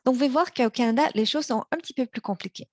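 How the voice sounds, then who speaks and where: Measured woman raised in Montreal. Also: fairly high pitch, darkish tone, dry recording. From China, raised in Montreal. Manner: measured, slows on key words, small restarts. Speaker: practice speaker for interpreters. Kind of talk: prepared practice speech.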